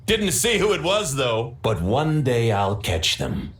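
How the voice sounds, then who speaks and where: A man's voice: medium pitch, wildly swooping pitch, slow pace. An actor voicing a grudging, grumbling male villager in a video game. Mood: grumbling, vengeful. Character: grudging male villager vowing revenge on pranksters. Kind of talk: video game character acting.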